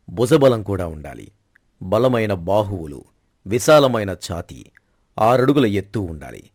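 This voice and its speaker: Man playing a proud, low-voiced king. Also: darkish tone, dry recording, clean studio sound. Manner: formal, stately, declarative. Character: proud, commanding middle-aged king, wry with his queen. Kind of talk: scripted Telugu moral-story dramatization.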